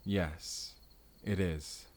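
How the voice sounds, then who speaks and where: An actor playing an earnest, tender Spanish vampire slayer in an audio roleplay, speaking deliberately and intimately to the listener with a Spanish accent. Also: male voice, low voice, fairly even intonation, a little mellow, a little hiss or hum. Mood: grim, calm. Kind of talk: cinematic audio roleplay acting.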